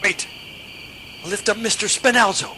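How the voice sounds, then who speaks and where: An actor playing a sinister, commanding criminal brother in a radio play, with a threatening manner. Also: male voice, high voice, steady tone, moderate pace, background noise, close to an everyday voice. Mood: urgent, bossy.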